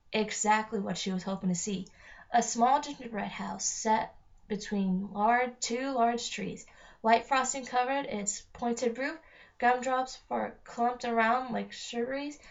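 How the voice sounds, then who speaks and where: A woman with a fairly high voice reads a children's story as narrator. She now and then trips on a word. The recording is dull and lo-fi. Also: creaky. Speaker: narrator reading a novel aloud.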